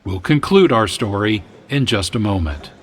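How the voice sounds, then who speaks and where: A man working as an announcer, giving formal, measured scripted introductions for a radio drama. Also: lowish voice, expressive, breathy edge.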